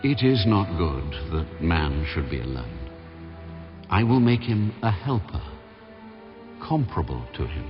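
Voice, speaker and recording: A man's voice: low voice, sing-song, a little slow. An actor playing God as a solemn, authoritative male deity in a dramatized scripture reading. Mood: solemn resolve. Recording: dull audio under loud music.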